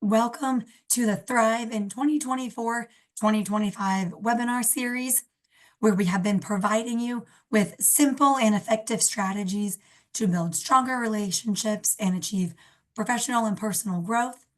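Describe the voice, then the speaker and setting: A young woman with a cold and a slightly raspy voice, hosting a webinar and reading announcements on a video call. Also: a little high, normal speed. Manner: friendly, scripted announcements. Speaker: program coordinator, webinar host. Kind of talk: webinar introduction. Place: indoor room, video call.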